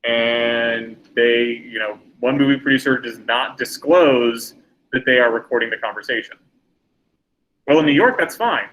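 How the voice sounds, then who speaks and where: A man's voice: medium pitch, a little slow, a bit bright, muffled recording, slight background noise. A middle-aged man teaching a class, lecturing in a conversational way and putting questions to the students.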